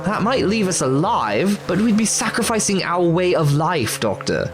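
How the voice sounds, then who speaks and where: A man's voice: high-pitched, sing-song, moderate pace. A man playing an anxious but brave ancient Egyptian townsman in an audio drama, speaking earnestly and with feeling. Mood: earnest, defiant. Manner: earnest, emotional, urgent.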